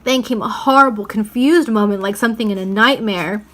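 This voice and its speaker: A woman reads a children's novel aloud in an expressive storytelling style, leaning into the dramatic words. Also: medium pitch, a little fast. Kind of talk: storybook read-aloud narration.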